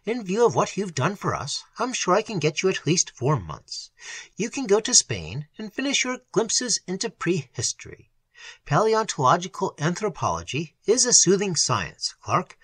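Horror audiobook role: male reader as learned, concerned gentleman friend, earnest, slightly high and very animated. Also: moderate pace, breathy edge, no echo, exaggerated voice. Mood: soothing, concerned. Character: cordial, concerned scholarly gentleman soothing his friend. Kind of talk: dramatic horror audiobook acting.

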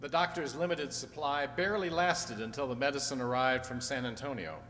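A man's voice: mid-range voice, fairly quick, fairly bright tone, a little hiss or hum. A man giving scripted narration for an old-time radio western, performed on stage. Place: stage in a community hall. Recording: reverberant hall stage.

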